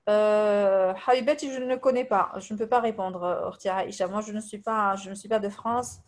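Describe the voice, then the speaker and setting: Tunisian woman, arabic native, religious lesson, lots of uhs and restarts, medium pitch. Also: steady tone. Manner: hesitant, many fillers, reads translations then recites Arabic quickly. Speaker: religious teacher. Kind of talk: religious lesson over voice messages.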